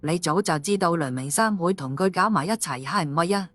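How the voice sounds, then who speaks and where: A woman's voice: fairly low pitch, a little fast, a bit bright, dry recording. A woman playing an angry, suspicious policewoman and wronged wife in an audiobook drama, scolding bluntly. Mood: accusing.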